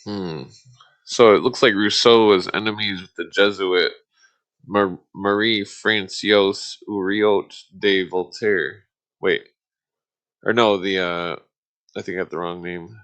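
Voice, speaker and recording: A man reading aloud casually on a live stream, halting and stumbling over formal text, with muttered asides. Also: sits low, fairly even intonation, fairly bright tone.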